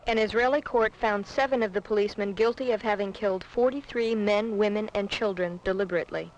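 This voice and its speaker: A woman's voice: mid-range voice, a little fast, muffled recording. A woman reading scripted political narration on a 1970s documentary film soundtrack.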